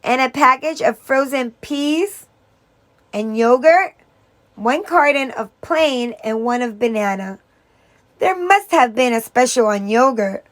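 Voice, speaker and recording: A high-pitched young girl played by a woman reader, the practical big sister of a storybook. She complains insistently and takes her time over each grievance. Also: lively intonation, measured pace. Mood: exasperated.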